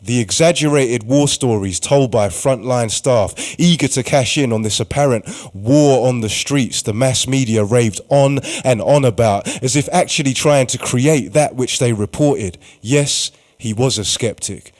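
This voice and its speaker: Male narrator, medium pitch, scripted dramatic storytelling for a radio play. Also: a little slow, hardly any pauses, darkish tone. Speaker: narrator and writer-performer.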